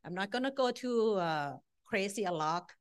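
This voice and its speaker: A middle-aged woman from Thailand speaks to a meeting with a Thai accent. Her pitch is average for a woman. She tells her own story informally and bluntly, in short, self-deprecating phrases. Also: lively intonation, normal speed, clear, resonant tone. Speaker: speaker at a support-group meeting. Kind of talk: informal personal testimony.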